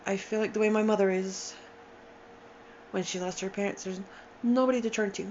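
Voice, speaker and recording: A tired, upset woman in her forties speaks at a middling pitch, halting often and sighing between thoughts. Her account comes out unscripted, with restarts. The recording is dull and lo-fi, with a faint hiss underneath. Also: fairly even intonation, a little mellow. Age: 40s. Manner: halting, emotional, with sighs and restarts. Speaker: storyteller sharing a personal account. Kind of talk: unscripted personal testimony.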